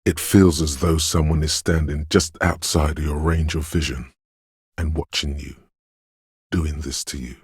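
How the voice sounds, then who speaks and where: A man voicing a detective's brooding, mystical intuition in a video game, with a deep, gravelly voice and slow, ominous, dreamlike delivery. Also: fairly even intonation, darkish tone, no echo, studio quality, heavily acted. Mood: foreboding. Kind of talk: scripted video game narration in second person.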